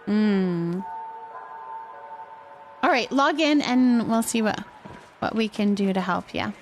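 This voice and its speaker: A woman's voice: mid-range voice, slow pace, soft background music. A woman hosting a livestream, chatting casually and thinking aloud as she plays a building game. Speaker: livestream gaming host. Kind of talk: casual chat while playing a building game.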